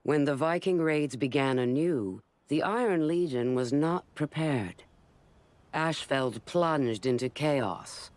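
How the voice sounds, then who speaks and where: A woman voicing a ruthless, commanding female warlord in a game cutscene, speaking slowly and gravely with a hushed menace. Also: low-pitched, dry recording. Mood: grim, menacing. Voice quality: slow, measured, grave delivery with hushed menace. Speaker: villain narrator. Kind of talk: scripted video game cutscene narration.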